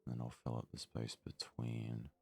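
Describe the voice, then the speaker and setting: A man giving soft-spoken ASMR game commentary, murmuring his thoughts aloud in a breathy voice that often slips into a whisper. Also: a bass voice, monotone, faint music behind. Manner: murmured, unhurried thinking aloud. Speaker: let's play host.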